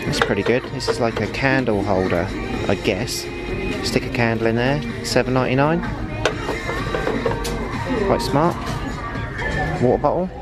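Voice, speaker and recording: A man hosting a casual shopping vlog, narrating from behind a handheld camera as he browses. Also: medium pitch. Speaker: vlog host. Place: busy retail store indoors. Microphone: handheld camera, operator behind it. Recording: loud store music and crowd noise. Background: loud music and shopper crowd.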